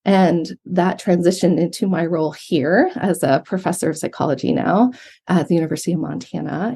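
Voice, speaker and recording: A woman's voice: on the low side, gravelly, husky. A woman with an American accent, a professor giving thoughtful interview answers with frequent ums. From Yemen, raised abroad. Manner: thoughtful, steady, filler-heavy. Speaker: guest, psychology professor. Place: remote from Montana.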